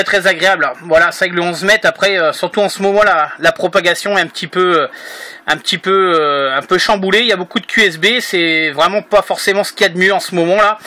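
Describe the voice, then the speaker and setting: A high-pitched man speaks through a two-way radio. His voice is bright and sharp, and he chats in an easygoing, halting, rambling way. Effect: heard through a two-way radio. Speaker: amateur radio operator. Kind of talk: casual radio contact chat.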